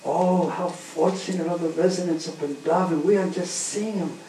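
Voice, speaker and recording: A man voicing a crowd of townspeople exclaiming in excited admiration. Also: fairly high pitch, moderate pace, natural voice. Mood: awed. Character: awed crowd of townspeople, adoring. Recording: roomy, distant, faint background hum.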